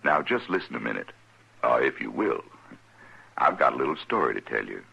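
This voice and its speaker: An actor playing a folksy teller of tall tales in a raspy, gravelly voice, in an old-time storytelling style. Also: male voice, rumbling low, big pitch swings, brisk, over loud music, heavily acted. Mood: folksy, confiding. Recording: dull old radio recording.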